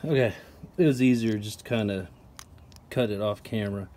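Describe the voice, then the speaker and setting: A man casually explaining a tool setup while working with his hands, chatty and relaxed with plenty of ums. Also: lowish voice, normal speed. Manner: relaxed, chatty, lots of ums and you-knows. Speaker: product reviewer. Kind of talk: casual how-to narration.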